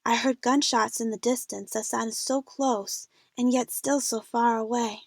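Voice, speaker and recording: A woman's voice: on the high side, steady tone, a little slow, vocal fry, dry recording. A woman playing a frightened young female adventure fan, narrating her own jungle ordeal in a scripted audio drama. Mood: anxious.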